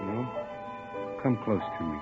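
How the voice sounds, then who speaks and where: Lovesick young leading man, old radio drama, very animated, narrow-band sound. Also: fairly low pitch, measured pace. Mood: lovesick, pleading. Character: lovesick, earnest young man searching for missing girlfriend. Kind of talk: old-time radio drama acting and narration. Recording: muffled vintage radio recording with music.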